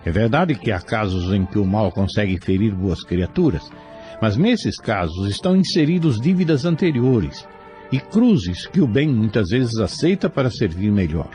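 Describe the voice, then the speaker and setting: A man reads a scripted moral reflection with formal solemnity. His low voice rises and falls expressively, with gentle music behind him. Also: sing-song, normal speed. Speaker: commentator. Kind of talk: scripted moral commentary, sermon-like.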